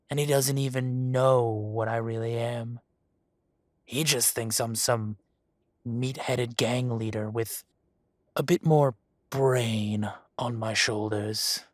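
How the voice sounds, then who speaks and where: A husky, unhurried dragon crime boss, as a man acts him out lets each threat drip with mocking calm. His voice is close and dry, with no room around it, and every word lands slowly. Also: medium pitch, no echo. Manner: slow, purring, mocking menace. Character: menacing male dragon posing as a mafia boss. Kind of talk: roleplay audio drama.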